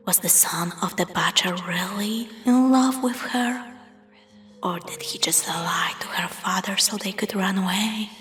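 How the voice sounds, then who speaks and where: A woman narrating a spooky scripted story in a hushed, breathy, whispery voice, telling it dramatically. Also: medium pitch. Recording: long reverb, music underneath. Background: music.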